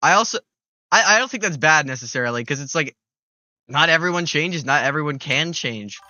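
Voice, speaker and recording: Bright rambling young streamer guy. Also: on the high side, lively intonation, a little fast, no room sound. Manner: rambling, swears a lot, many restarts. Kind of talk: casual gaming stream chat.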